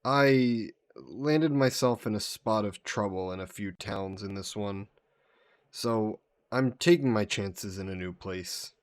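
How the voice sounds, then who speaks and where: A man voicing a vain sea monster who poses as a mannered gentleman in a roleplay audio drama, speaking in suave, formal phrases. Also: on the low side, takes it slowly, no room sound, studio quality. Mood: playful, flirty. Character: flirty, vain sea monster posing as a gentleman traveler, turning menacing.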